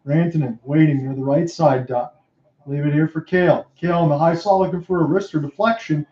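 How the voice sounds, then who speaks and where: A man calling hockey play-by-play, his calls rising sharply and quickly on scoring chances. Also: on the high side. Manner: rapid excited calls that rise sharply on chances. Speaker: play-by-play announcer. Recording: dull lo-fi roomy home mic.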